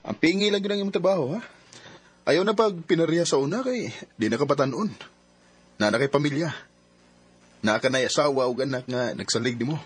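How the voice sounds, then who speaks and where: Lively-pitched, forgiving Cebuano sweetheart. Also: male voice, brisk, long pauses, breathy edge, dry recording. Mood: encouraging. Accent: Filipino, Cebuano. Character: Randy, forgiving, still lovelorn jilted man. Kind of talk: sentimental radio drama acting. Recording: radio drama with music bed.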